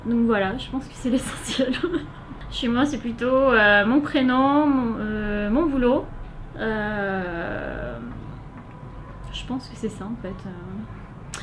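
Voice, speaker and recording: Young woman, French accent, interviewee; fairly high, halting delivery, lots of fillers; noisy recording. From France. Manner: hesitant, many filler sounds. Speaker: interviewee, volunteer. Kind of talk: casual interview answers. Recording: noisy background hiss.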